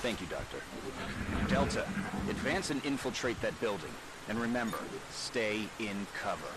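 A man voicing a steady military commander. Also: on the low side, moderate pace, heavy background noise, natural voice. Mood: commanding. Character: calm male military commander on radio. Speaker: mission commander.

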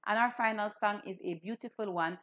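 A woman's voice: mid-range voice, a little fast, fairly bright tone, slight background noise. Woman teaching children. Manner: clear teaching, addressing children. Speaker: Anglican cleric hosting children's Bible broadcast. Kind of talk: children's sermon, direct address. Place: small indoor room.

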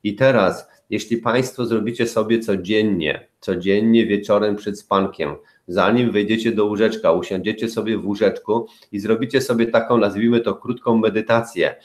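An older man speaks into a webcam in a small room, explaining slowly and deliberately with plenty of pauses. His voice sits a little low, and his manner is gentle and coaxing, like a therapist guiding listeners through an exercise. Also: steady tone. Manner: gentle, coaxing, uses diminutives. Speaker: spinal therapist. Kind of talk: webinar talk to viewers. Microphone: webcam at desk.

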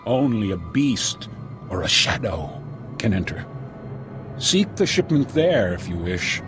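A wary alien tribesman in a video game. He speaks slowly and gravely in a low, halting voice, with music behind him. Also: very animated. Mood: grave warning. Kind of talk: video game cutscene acting.

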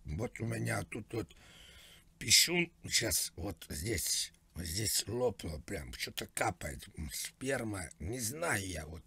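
A man's voice: on the low side, lively intonation, slow pace, vocal fry, bright, forceful tone, slightly rough. A man making a prank call, posing as an older man and talking in a plaintive, rambling, mock-naive way. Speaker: prank caller.

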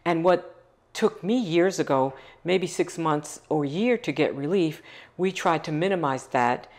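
A woman's voice: low-pitched, measured pace. A middle-aged woman, a foundation CEO, speaking passionately and emphatically in an interview on a couch with a lapel mic. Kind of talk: interview conversation. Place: living room set on a couch.

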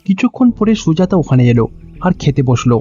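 A man's voice: a little high, very animated, fast talker, dark tone, husky, no echo. A man with a Bengali accent reading scripted narration for a cartoon story. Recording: dull, lo-fi audio with music behind.

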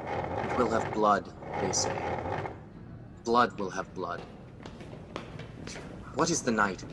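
A man's voice: lowish voice, takes it slowly, background noise. A man playing Macbeth, a fearful, haunted king, in a radio drama with an intense, shaken delivery.

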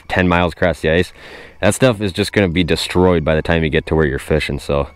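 Excitable man narrating his fishing. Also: sits low, smooth, no echo. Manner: excitable, exclaims at each catch. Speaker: host. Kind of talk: casual fishing vlog commentary. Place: outdoors on a frozen lake. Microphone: wearable action camera.

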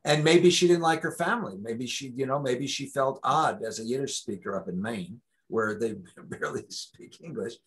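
A man's voice: medium pitch, normal speed, few pauses, husky. A man in his sixties, a guest lecturer, answering questions on a video call from a home study, talking in long discursive stretches with frequent restarts. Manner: discursive, many restarts, animated. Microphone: video call webcam.

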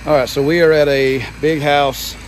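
A man's voice: on the high side, level tone, moderate pace, rough recording. A man, talking casually to a phone camera about his pressure washing business, chatty and laid-back with lots of "um" and "man". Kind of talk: casual vlog talk to camera. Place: outdoors under a covered patio at a large house. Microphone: phone held at arm's length. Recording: outdoor phone audio with engine noise. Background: pump engine and vehicle noise.

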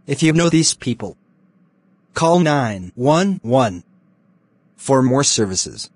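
A man's voice: medium pitch, very animated, takes it slowly, darkish tone, breathy edge, dry recording. Formal male emergency alert announcer, dry sound. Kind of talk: scripted emergency broadcast announcement.

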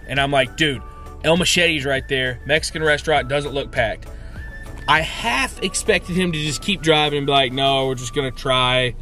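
A man in his thirties vlogging to a handheld camera from a car and a hotel room, telling a rambling, excited story. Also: medium pitch. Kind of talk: casual vlog. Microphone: handheld camera at arm's length.

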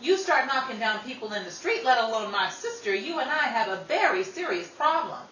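A woman's voice: a little high, very animated, brisk, a bit bright. A woman playing a hot-tempered, protective older sister in a 1930s radio drama, brash, scrappy and slangy. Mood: confrontational. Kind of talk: old-time radio drama acting. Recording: roomy, distant mic, faint noise.

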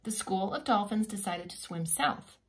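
A woman preschool teacher reading a children's picture book aloud in an expressive, teacherly way with simple asides. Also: medium pitch, moderate pace.

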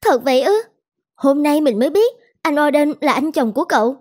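A woman's voice: high voice, animated, fairly quick, darkish tone. A woman voicing a sociable, well-off young friend in a cartoon drama, chatty. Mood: friendly, chatty.

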